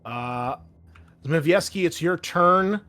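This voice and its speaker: A man's voice: fairly high pitch, expressive, slow pace, bright, forceful tone. A man running a tabletop roleplaying game as host, shifting between conversational rulings and dramatic descriptive narration.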